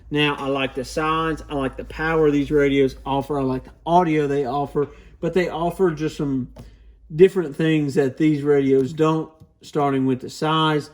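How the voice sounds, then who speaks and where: A man's voice: mid-range voice, darkish tone. A man hosting a video, giving a casual, informal product overview and explaining things as he goes. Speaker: host of a CB radio channel.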